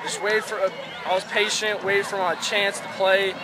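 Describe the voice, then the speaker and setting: A man's voice: sits high, little pitch movement, normal speed. A young American man, a football quarterback, chatting casually in a postgame interview. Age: young adult. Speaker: high school football quarterback. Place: outdoors at night by a stadium building. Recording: loud crowd and outdoor field noise.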